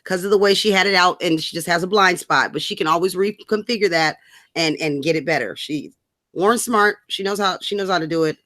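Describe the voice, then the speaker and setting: Bright-voiced, blunt American woman chatting casually. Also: fairly low pitch, fairly quick, no echo, clean studio sound. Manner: blunt, profane, slangy. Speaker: podcast co-host. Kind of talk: casual political chat.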